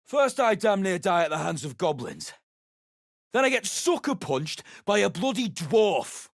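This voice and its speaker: An actor voicing a hot-tempered, aggrieved townsman in a video game, shouting and complaining. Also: high voice, big pitch swings, unhurried, no echo, clean studio sound, heavily acted.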